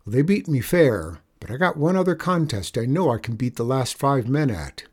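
A man plays a plucky little boy of the Old West in a storybook reading. The pitch is lifted and a little husky, and the talk is bold, folksy and full of cocky challenge. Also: sing-song, moderate pace, darkish tone, full character voice. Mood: sly, friendly.

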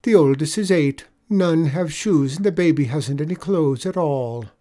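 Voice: mid-range voice, normal speed, warm tone, pitched above the performer's own voice, close to an everyday voice. A performer voicing a wily little Irish leprechaun man in a storybook tale, coaxing with a mournful lilt. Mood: piteous, pleading. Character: wily little leprechaun man spinning pitiful sob stories.

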